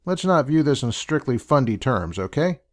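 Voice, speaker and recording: A man's voice: medium pitch, big pitch swings, normal speed, darkish tone. A man hosting and answering questions in a dry, sarcastic, dismissive manner. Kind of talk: sarcastic commentary.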